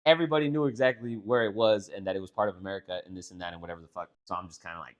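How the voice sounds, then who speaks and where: A man's voice: sits low, fairly even intonation, rapid-fire. A young man from Texas co-hosting a podcast, telling stories in a loose, rambling way and swearing often. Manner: loose, profane, rambling storytelling. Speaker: podcast co-host. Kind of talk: casual chat.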